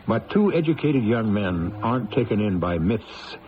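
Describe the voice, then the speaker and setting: A man hosting a radio mystery show, narrating in a measured, theatrical and ominous way. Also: lowish voice, sing-song, heavy background noise. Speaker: host of radio mystery anthology. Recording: dull old radio recording, music behind.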